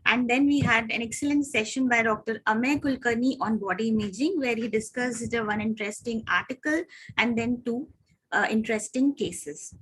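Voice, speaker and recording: A woman with an Indian English accent moderating an online medical journal club over a video call, formal with frequent "uh" pauses. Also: fairly high pitch, fairly bright tone, breathy edge, rough recording.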